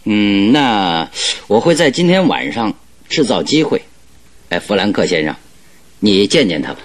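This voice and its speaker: Gentleman detective, male, lowish voice, animated intonation, firm, reassuring, authoritative, radio drama. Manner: firm, advising, measured. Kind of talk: Chinese radio drama acting.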